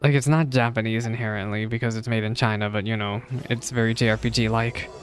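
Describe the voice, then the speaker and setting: A man's voice: fairly low pitch, steady tone, fairly quick. Casual male streamer musing over a game. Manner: musing aloud, chatty asides. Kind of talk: casual game reaction commentary. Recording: faint game music behind.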